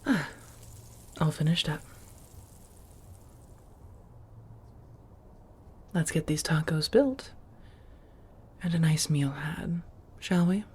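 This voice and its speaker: A woman voicing a centuries-old, genteel and affectionate vampire housemate in a soft-spoken ASMR roleplay, with a husky, breathy voice and measured, old-fashioned phrasing. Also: low voice, exaggerated voice. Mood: soft, attentive.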